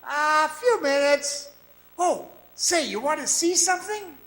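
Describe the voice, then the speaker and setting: An actor playing a cocky young mine guide in a radio drama, folksy and coaxing, moving between narration and dialogue. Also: male voice, very high voice, big pitch swings, a little slow, a bit bright, exaggerated voice. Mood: breezy, coaxing. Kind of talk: old-time radio drama acting, part narration, part dialogue.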